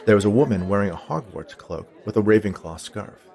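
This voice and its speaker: A man's voice: fairly low pitch, expressive, a little fast, gravelly, a little mellow, a faint crowd. A man reading a scripted short story aloud as its narrator.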